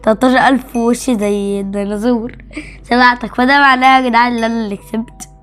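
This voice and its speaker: A woman's voice: a little high, steady tone, fast talker, fairly bright tone, soft background music. A woman with an Egyptian Arabic accent co-hosting a game video in casual, teasing chatter with another player. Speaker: gaming video co-host.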